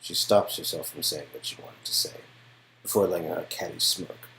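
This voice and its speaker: A man's voice: deep voice, steady tone, normal speed, vocal fry, bright, forceful tone, breathy edge, poor audio. A man reading scripted story narration from an interactive game, recorded with faint background noise. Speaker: narrator and reader of game dialogue.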